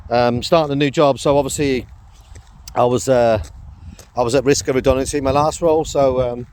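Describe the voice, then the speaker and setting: A middle-aged man with a croaky throat, chatting casually on a walk with his dog in a rambling vlog. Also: mid-range voice, steady tone, fairly quick, a little mellow, a little hiss or hum. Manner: rambling, chatty, lots of fillers and laughs. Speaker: vlogger.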